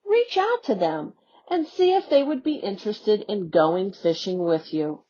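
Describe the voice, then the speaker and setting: A woman on an education staff reading a youth newsletter aloud in a slow, expressive storybook manner. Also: mid-range voice. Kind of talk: read-aloud for young learners. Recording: dull lo-fi audio.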